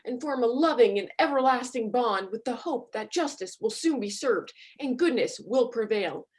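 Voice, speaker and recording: A woman's voice: on the high side, darkish tone. A woman comedian narrating a story with mock-dramatic commentary.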